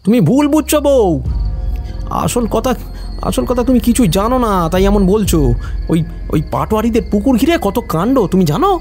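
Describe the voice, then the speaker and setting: Rustic young husband in audio horror drama, hesitant and folksy, very lively intonation, music underneath. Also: male voice, high-pitched. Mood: anxious, coaxing. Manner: hesitant, halting, folksy storytelling with fillers. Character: rustic young husband nervously recounting village ghost tales. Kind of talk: Bengali audio horror story acting. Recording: echoey reverb with music underneath.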